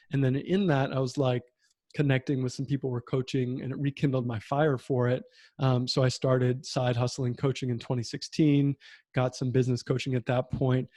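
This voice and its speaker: A man in his thirties, a podcast host, telling his own story in a reflective way with many pauses and fillers over a video call. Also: medium pitch, mellow, dry recording, studio quality. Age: 30s. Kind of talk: conversational interview. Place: home room with bookshelf.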